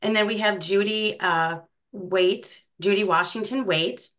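A woman's voice: mid-range voice, a little slow, fairly bright tone. An American woman chatting casually as an interview guest about her work in community theater. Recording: dull, lo-fi sound.

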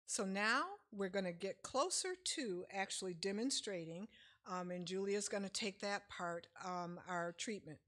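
A middle-aged woman leads a health seminar from a church lectern. She explains in a relaxed, chatty way, with fillers here and there. Her voice sits at an ordinary pitch and is picked up from across the room. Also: measured pace. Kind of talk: casual lecture with slides. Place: church sanctuary, speaking at a lectern.

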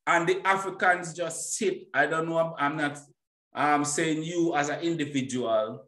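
A man's voice: on the high side, a little slow, pushed and bright. A middle-aged man hosts an informal conversation in an opinionated, emphatic way. He repeats words to drive points home, and his microphone picks up a roomy echo. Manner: emphatic, repeating himself for stress. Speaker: interview host. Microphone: boom-arm microphone, headphones.

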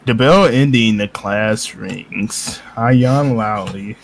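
A man's voice: fairly low pitch, slow, creaky. A young man in casual, sweary gameplay commentary, reading a visual novel aloud with friends. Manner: sweary, slangy.

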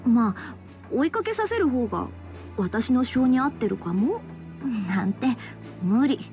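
High-voiced, sweet teenage girl. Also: sing-song, unhurried, darkish tone, soft background music. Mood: shy, affectionate. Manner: intimate, girlish. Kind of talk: Japanese game romance acting.

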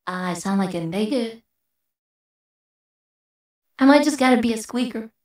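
A man voicing a brash, squeaky young woman through a female voice changer, bantering casually on a livestream. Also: animated, measured pace. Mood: brash, teasing. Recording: roomy, distant mic through voice changer.

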